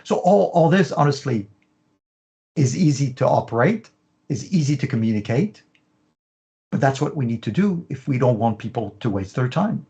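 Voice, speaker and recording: A man's voice: mid-range voice, lively intonation, normal speed, mellow, highs cut off. A middle-aged man, a fashion business consultant, explaining his methods in an interview and stringing long lists together with frequent "you know" fillers.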